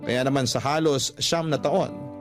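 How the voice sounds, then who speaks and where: A man narrates a personal letter in a radio storytelling style. His voice sits at a middle pitch, with soft music playing faintly behind it. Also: a little slow. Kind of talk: scripted narration of a confessional love story.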